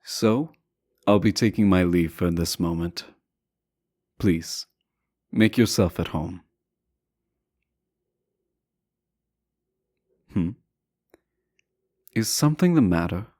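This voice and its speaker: A man playing a teasing, self-assured deposed prince in a romantic audio roleplay, speaking softly and deliberately with courtly, formal phrasing. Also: low voice, dry recording, clean studio sound. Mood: airy, self-assured. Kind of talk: romantic audio roleplay acting, addressing the listener.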